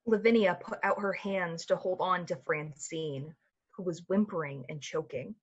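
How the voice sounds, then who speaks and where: A woman's voice: lowish voice, normal speed, slightly rough, dull audio. A woman playing the solemn storyteller of an eerie radio play, narrating a scripted tale. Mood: eerie, descriptive.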